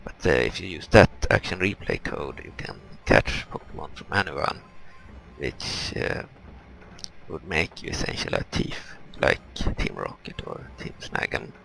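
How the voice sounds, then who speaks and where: Very deep, gravelly man doing gaming commentary. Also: sing-song, fairly bright tone, poor audio. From Europe. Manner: halting, rambling, explanatory. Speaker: gaming video host. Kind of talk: casual let's play commentary.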